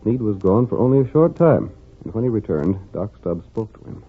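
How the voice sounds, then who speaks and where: A man's voice: fairly low pitch, sing-song, a little fast. A man narrating an old-time radio western drama as the show's announcer. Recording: tinny old radio recording with music.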